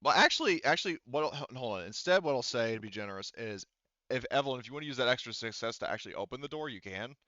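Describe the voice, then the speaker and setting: A man's voice: mid-range voice, lively intonation, racing, bright, forceful tone, no echo, muffled recording. A man acting as game master, narrating a tabletop game off the cuff in a casual way with some swearing. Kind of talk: improvised tabletop game narration over voice chat.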